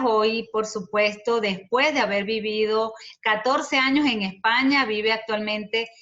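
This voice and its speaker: Woman presenter, slow and bright, on muffled video call audio. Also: on the high side. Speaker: host. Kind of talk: formal welcome and introduction.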